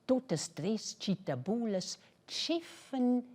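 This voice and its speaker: A woman narrating a children's story in an expressive storytelling style. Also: fairly high pitch, drawn-out words, husky.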